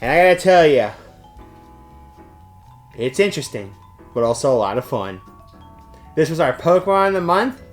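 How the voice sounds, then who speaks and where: A man presenting an informal showcase to camera, emphatic, with halting pauses between phrases. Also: fairly high pitch, very animated, faint music behind. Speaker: presenter of a game store's monthly news segment.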